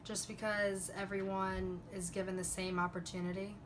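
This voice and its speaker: Young female student from New Orleans; even, flat delivery over clear background noise. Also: medium pitch, normal speed. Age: young adult. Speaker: student interviewee.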